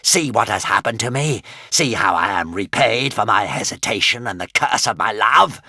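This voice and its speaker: A man voicing a giant male dragon who speaks fiercely and bitterly, slow and heavy. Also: on the low side, animated, pushed and bright, dry recording, clean studio sound, full character voice.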